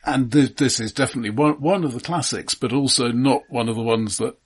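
Man, podcast co-host, raspy voice, measured and informative, dry humor. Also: medium pitch. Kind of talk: casual film podcast chat.

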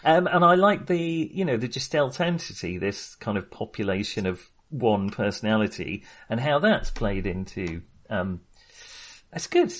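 A man co-hosting a podcast in a casual film discussion, speaking in a halting, wry, jokey manner. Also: lowish voice, big pitch swings, a bit bright. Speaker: podcast co-host.

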